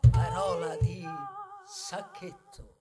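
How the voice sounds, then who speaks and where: Proud resentful plastic bag, high-pitched, slow with pauses, declamatory, singsong, radio ballad, music behind. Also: female voice, wildly swooping pitch, warm tone, heavily acted. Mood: boastful, exultant. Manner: declamatory, emphatic, pausing between words, at times singsong. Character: boastful, resentful young biodegradable plastic bag, proud of its origins. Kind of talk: theatrical monologue in a radio ballad.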